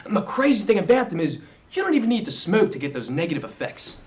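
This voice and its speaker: Male narrator, high and very animated pitch, wry and mock-serious documentary delivery. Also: quick pace, noisy background. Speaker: narrator of a video segment.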